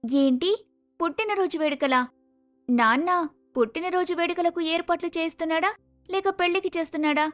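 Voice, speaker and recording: A woman's voice: very high voice, brisk, pauses often, faint music behind, voice pushed up. A woman voicing an earnest, misunderstood younger sister who is a college student, sincere and pleading, in a cartoon story. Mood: eager, questioning. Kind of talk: acted cartoon moral story.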